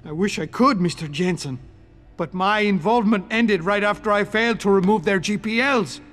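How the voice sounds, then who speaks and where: An actor playing a guilt-ridden, betrayed middle-aged surgeon in a video game, with a formal, anguished delivery. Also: male voice, high-pitched, moderate pace, fairly bright tone, faint music behind. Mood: bitter regret. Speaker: former surgeon. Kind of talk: video game cutscene acting.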